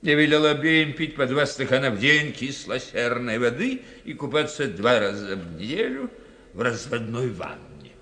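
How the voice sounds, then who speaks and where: An actor playing a witty, sceptical society doctor in a radio play, gossiping in a wry, theatrical way. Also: male voice, mid-range voice, very animated, normal speed, sharp tone. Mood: gossipy, amused. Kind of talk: radio drama acting.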